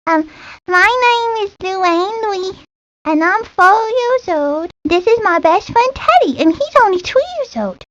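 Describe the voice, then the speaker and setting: A woman's voice: very high-pitched, animated, unhurried, a bit bright, no echo, exaggerated voice. A woman voicing a sweet, doting little preschool child in a cartoon game. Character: sweet, doting tiny girl with teddy bear. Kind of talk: cartoon game character lines. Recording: dull lo-fi old game audio.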